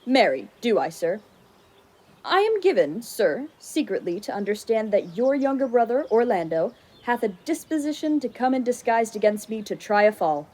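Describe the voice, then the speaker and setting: A woman's voice: fairly high pitch, big pitch swings, normal speed, a little mellow. A woman playing a blunt, respectful court wrestler, speaking in formal, declamatory Shakespearean style in a radio play. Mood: earnest, confident.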